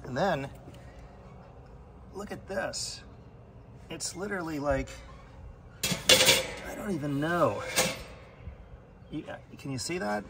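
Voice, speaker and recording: A man's voice: on the high side, takes it slowly, vocal fry, long pauses, heavy background noise. A man giving a casual, plain-spoken product review, pointing out defects while filming with a handheld phone on an outdoor deck. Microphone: phone camera in hand.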